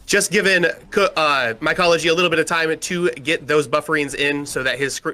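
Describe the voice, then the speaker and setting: A man calling an esports match as play-by-play caster, with a loud, powerful voice. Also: on the high side. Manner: rapid, energetic play-by-play. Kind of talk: play-by-play commentary.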